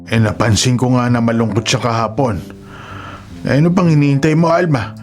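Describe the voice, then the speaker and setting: A man's voice: mid-range voice, fairly quick, faint music behind, lightly acted. A Filipino man playing a concerned man of the household in a radio drama, questioning with worried urgency. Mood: worried, urgent.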